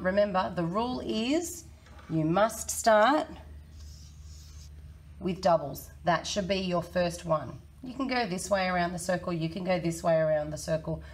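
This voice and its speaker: A woman in her thirties with a croaky, hoarse voice, teaching a children's maths lesson in a friendly, encouraging way. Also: mid-range voice, moderate pace. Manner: friendly, encouraging, talks to kids. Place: small indoor room.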